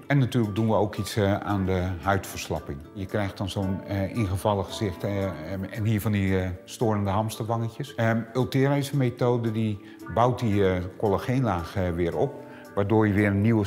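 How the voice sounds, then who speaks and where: A man's voice: on the low side, normal speed, darkish tone, faint music behind. A middle-aged man who owns a clinic, explaining treatments with many hesitant filler sounds. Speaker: clinic owner. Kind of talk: explanatory interview answers. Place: small treatment room indoors.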